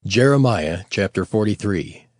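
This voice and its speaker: A man narrating scripture from a script in a measured, formal and expressive manner. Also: fairly low pitch, soft background music.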